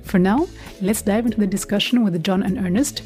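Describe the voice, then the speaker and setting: A woman's voice: medium pitch, a little fast, a little mellow, faint music behind. A woman hosting a podcast, presenting in a composed scripted way and putting questions to guests. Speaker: podcast host.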